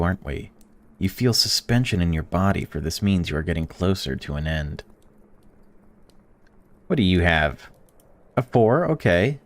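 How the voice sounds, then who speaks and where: A young man in a let's-play, reading a visual novel aloud and reacting to it, swearing freely and swinging between excited outbursts and flat reading. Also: deep voice, clean tone. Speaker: gaming YouTuber.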